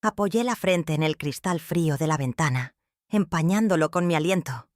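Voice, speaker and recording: A woman reading a first-person dramatic story in a measured, somber way. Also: sits low, expressive, no room sound, clean studio sound.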